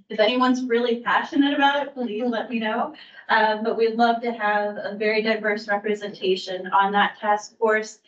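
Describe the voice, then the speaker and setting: A woman who works for a county aging services bureau, giving a staff update at a public meeting in a measured way, with frequent ums and restarts. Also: on the high side. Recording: distant roomy mic, dull sound.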